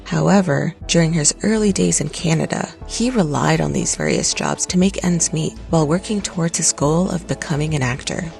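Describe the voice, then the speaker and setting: A narrator reading a scripted documentary in a steady, even way. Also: mid-range voice, gravelly. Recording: light music underneath.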